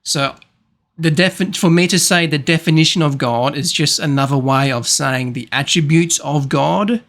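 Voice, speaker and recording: A man's voice: fairly high pitch, normal speed, fairly bright tone. A man arguing on a voice call, insisting on his points, repeating himself and stumbling over some words. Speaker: debater. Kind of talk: casual online debate.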